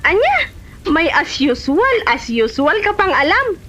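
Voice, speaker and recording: Actress as a shrill, nagging Ilocano best friend. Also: female voice, very animated, unhurried, pushed and bright, full character voice. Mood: scolding. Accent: Filipino, Ilocano. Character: nagging, exasperated female friend urging another to change. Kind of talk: Ilocano comedy drama acting. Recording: slightly muffled audio.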